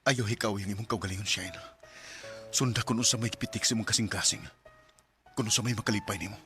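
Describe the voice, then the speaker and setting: A man's voice: on the low side, steady tone, fast, over music, natural voice. A man with a Cebuano Filipino accent playing a smitten, earnest coworker in a radio drama. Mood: pleading, tender. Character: smitten male coworker, earnest romantic suitor.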